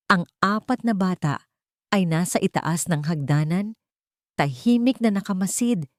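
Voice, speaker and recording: Lower-pitched woman narrating a drama. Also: lively intonation, measured pace, no echo, studio quality. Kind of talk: scripted dramatic story narration.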